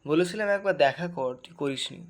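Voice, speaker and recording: A man's voice: fairly high pitch, a little fast, lightly acted. A man playing an ailing young man in an audio drama, an old friend speaking intimately and plaintively in a cracked voice. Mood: soft, wistful. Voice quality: broken, cracked voice.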